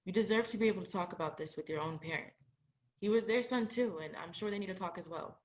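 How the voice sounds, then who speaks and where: A young woman acting in a student play as a caring, supportive best friend, gentle in manner. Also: mid-range voice, quick pace, lightly acted. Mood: gentle, reassuring. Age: young adult. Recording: dull lo-fi audio.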